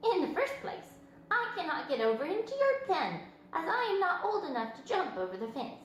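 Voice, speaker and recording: A woman's voice: extremely high pitch, big pitch swings, a little fast, a bit bright, voice pushed up, exaggerated voice. A woman voicing a haughty, dismissive young lamb in a storybook, snooty and curt. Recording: very echoey room with faint noise.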